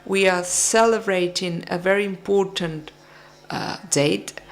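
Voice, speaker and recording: Woman from peru giving a conference talk, real slow, measured. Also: fairly low pitch, steady tone. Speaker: conference speaker. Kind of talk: conference speech.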